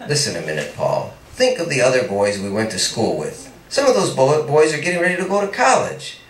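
Man reading a scolding young sweetheart, lively pitch, roomy distant sound. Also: fairly quick, a little hiss or hum. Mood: chiding. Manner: chiding, practical. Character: young woman, teasing then scolding sweetheart. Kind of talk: read-aloud story dialogue. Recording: echoey room, mic at a distance.

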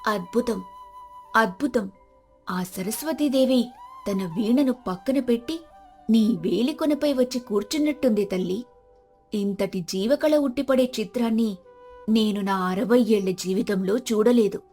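A woman plays a wise storyteller in her sixties from a moral tale. She is kind, gentle and reassuring, speaking in admiring tones over soft-edged music. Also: fairly high pitch, a little slow. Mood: awed admiration. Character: kind, wise sixty-year-old wandering storyteller woman.

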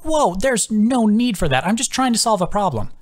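Man voicing a brisk, higher-pitched woman druid healer. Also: very animated. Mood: exasperated. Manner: urgent, direct. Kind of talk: audiobook character voice.

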